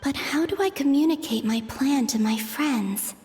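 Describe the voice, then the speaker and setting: A woman voicing a gentle, pensive young moon goddess in a video game, speaking softly in measured, introspective monologue. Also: high voice, soft background music, close to an everyday voice. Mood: realizing, resolved.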